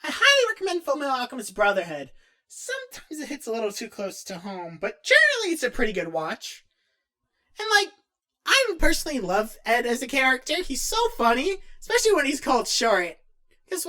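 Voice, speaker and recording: A woman voicing an excitable, awkward, geeky young male cartoon character who rambles and hesitates as he answers fan questions in character. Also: a little high, normal speed, full character voice. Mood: enthusiastic, rambling. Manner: animated, rambling, hesitant, self-interrupting.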